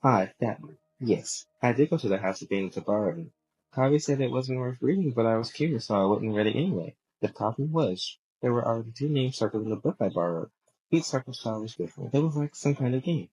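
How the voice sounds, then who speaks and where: A man voicing a clever, inquisitive young male character in a fan-dubbed anime game, brisk and matter-of-fact. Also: mid-range voice, animated, darkish tone, lightly acted, low-quality recording. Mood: brisk, knowing.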